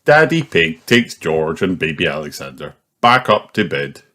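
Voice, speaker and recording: A man reading a children's story aloud in an animated, expressive storytelling manner. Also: a bass voice, moderate pace, slight background noise. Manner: animated, puts on character voices. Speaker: audiobook reader. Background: music.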